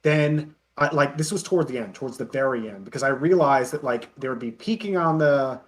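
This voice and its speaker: A man chatting casually as an interview guest, telling self-deprecating anecdotes in an animated way. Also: medium pitch, a little fast. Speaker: interview guest, web parody creator.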